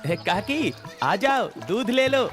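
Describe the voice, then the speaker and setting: A man's voice: very high-pitched, big pitch swings, takes it slowly, over music, heavily acted. A man voicing a greedy, cunning village milkman who pretends to be simple and poor in a children's cartoon story, with exaggerated folksy delivery. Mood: cheerful, ingratiating. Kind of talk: animated children's moral story dialogue.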